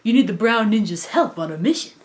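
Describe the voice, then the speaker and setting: A woman's voice: mid-range voice, expressive, brisk, natural voice. A woman voicing an exuberant, bumbling, self-important man who fancies himself a ninja, in a cartoon. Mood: cocky. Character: boisterous, boastful male karaoke club owner, self-styled ninja.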